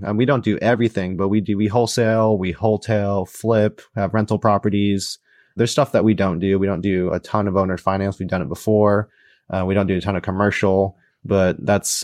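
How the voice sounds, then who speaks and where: A man co-hosting a casual podcast, speaking in a steady, monotone way. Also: fairly low pitch, no room sound, studio quality. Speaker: podcast co-host.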